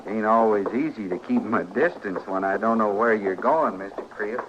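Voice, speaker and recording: An actor playing a polite, eerily persistent frontier man with a mild, folksy, deliberate manner in an old radio western. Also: fairly low pitch, natural voice. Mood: mild, menacing. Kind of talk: 1950s radio western acting. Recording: dull, hissy old radio recording.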